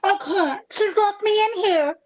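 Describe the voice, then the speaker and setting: Young girl heroine, adult actress: extremely high, earnest, anxious, comic radio-play delivery. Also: unhurried.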